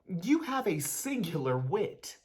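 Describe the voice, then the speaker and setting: A woman's voice: lowish voice, big pitch swings, slow, darkish tone, husky, full character voice. A woman playing a brainy, awkward schoolboy in a dramatic reading, speaking formally and pedantically. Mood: formal, matter-of-fact. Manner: pedantic, precise, formal wording.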